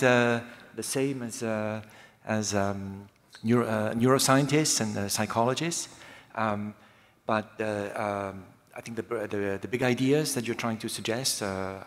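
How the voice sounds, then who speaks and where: A man chairing an academic session, giving halting impromptu remarks with many stammers and repeated words. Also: fairly low pitch. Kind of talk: impromptu remarks after a lecture. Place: large hall, public event. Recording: reverberant lecture hall.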